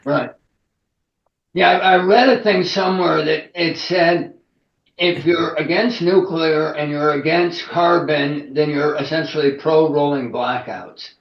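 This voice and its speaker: A man's voice: a little high, steady tone, measured pace, echoey room, muffled recording. A man interviewing a guest, asking questions in an informal, colloquial way.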